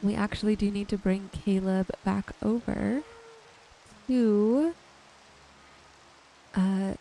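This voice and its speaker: A woman narrating her gameplay in an ASMR style, with a gentle, soothing manner. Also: medium pitch, a little hiss or hum, poor audio. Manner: gentle, unhurried, soothing narration of own actions. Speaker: host of a gaming channel. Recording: music behind the voice. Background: music.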